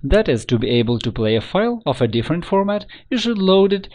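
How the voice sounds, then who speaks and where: A man reading a scripted software tutorial in an instructional, step-by-step manner. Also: high voice, sing-song, fast talker.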